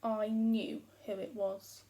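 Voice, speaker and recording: A woman's voice: medium pitch, level tone, slow pace, dark tone, lightly acted. A woman voicing a huge, hungry, self-pitying giant who complains with sarcastic emphasis as he tells his own storybook tale. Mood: grumbling. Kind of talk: first-person storybook narration read aloud.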